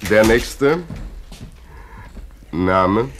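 An actor playing a brusque, weary hiring clerk in a radio play, curt, clipped and officious. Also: male voice, on the low side, very slow, noisy background.

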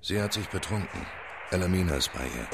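An actor playing a curt, cool, unyielding boss of a gambling club in a crime radio drama. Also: male voice, sits low, animated, a little fast, background noise. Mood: weary, curt. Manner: terse, unyielding. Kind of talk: hard-boiled radio crime drama acting.

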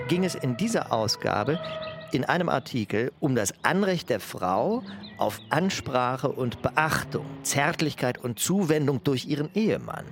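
An actor playing a pompous, patronising psychiatry professor who lectures clinically and searches for words in a documentary radio play. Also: male voice, fairly high pitch.

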